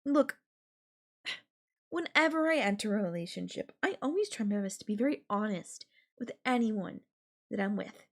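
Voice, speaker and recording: A woman playing an obsessive, possessive girlfriend in an intimate audio roleplay, sweet and coaxing with a menacing edge and lingering pauses. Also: medium pitch, animated. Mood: confiding, nostalgic.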